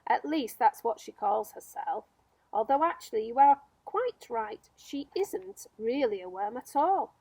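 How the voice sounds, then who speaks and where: A woman voicing a bossy, impatient male centipede in a children's storybook, with loud, emphatic demands. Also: on the high side, lively intonation, a little slow, creaky, husky, no room sound, exaggerated voice, poor audio. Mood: scornful.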